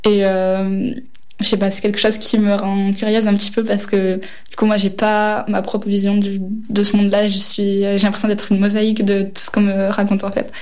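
A teenage girl reflecting aloud in an interview. Her pitch stays flat and even, and she pauses often with soft fillers while she searches for her words. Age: teens. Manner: hesitant, many fillers, thoughtful. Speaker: high school student interviewee. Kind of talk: reflective testimony.

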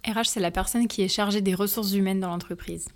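A young French woman talks through her career in an interview, pausing often on hesitant fillers. Her voice sits in an ordinary middle pitch. She explains each step carefully. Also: fairly even intonation. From France. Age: 20s. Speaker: interview guest, factory team manager. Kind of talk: answering interview questions.

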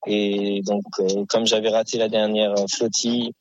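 Man guest, lowish voice, stop-start delivery; dry, slightly muffled studio sound. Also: level tone, dry recording. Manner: halting with frequent fillers. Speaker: activist guest. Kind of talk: studio radio interview. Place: radio studio.

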